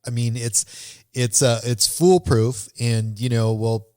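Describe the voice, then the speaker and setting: A man hosting a podcast in a casual, conversational way, with a halting, rambling delivery. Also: medium pitch, fairly even intonation, a little mellow, clear, resonant tone, no room sound, clean studio sound. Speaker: podcast host.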